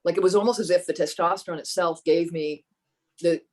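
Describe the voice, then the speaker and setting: Low-voiced reflective woman, halting speech. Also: steady tone, darkish tone. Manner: thoughtful, halting, with many restarts. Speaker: podcast guest. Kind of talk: reflective personal storytelling.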